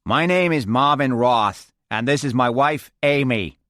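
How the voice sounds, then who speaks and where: A man's voice: mid-range voice, measured pace, a bit bright, no echo, studio quality, pitched above the performer's own voice, close to an everyday voice. A man voicing a wealthy, balding American man with a New York accent. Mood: friendly. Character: pear-shaped, bald American man, rich.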